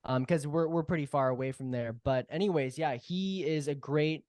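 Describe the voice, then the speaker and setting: A man with a slightly high voice talks as a guest on a podcast. His manner is humble and earnest, in casual conversation. The sound is dry, with little room to it. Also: moderate pace, a little mellow, no echo. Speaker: podcast guest, audio drama co-creator.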